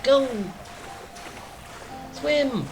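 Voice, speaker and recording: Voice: sits high, lively intonation, extremely slow. A gaming streamer giving casual commentary, thinking aloud in short muttered phrases while playing. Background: game music.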